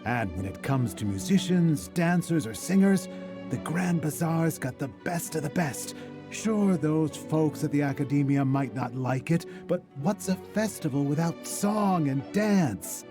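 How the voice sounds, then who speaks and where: A cheerful townsman with a slightly high voice, talking up the festival snacks and performers while clear game music plays behind him. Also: animated, measured pace. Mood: upbeat. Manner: enthusiastic, promotional. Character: cheerful male townsman praising a bazaar festival. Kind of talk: game cutscene voice acting.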